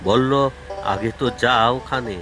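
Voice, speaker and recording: A man's voice: mid-range voice, steady tone, slow pace, over music, lightly acted. A man playing a poor, worried labourer and father in a cartoon, plaintive and folksy. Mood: worried, resigned. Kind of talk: cartoon voice acting.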